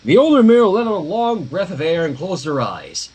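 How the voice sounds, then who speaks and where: A man reading a story aloud on a livestream with expressive, dramatic narration and casual asides, in a husky voice. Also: high-pitched, normal speed. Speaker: fan fiction reader.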